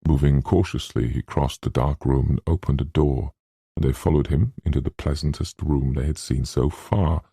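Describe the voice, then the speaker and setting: Very deep, creaky male audiobook narrator. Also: fairly even intonation, moderate pace, mellow, no room sound, studio quality. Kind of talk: scripted literary narration.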